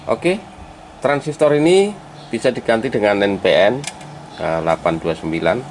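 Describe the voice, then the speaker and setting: A man gives an informal hands-on tutorial step by step, addressing viewers as friends and halting as he works. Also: fairly low pitch, darkish tone. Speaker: electronics repair hobbyist presenting a tutorial. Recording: clearly noisy amateur recording.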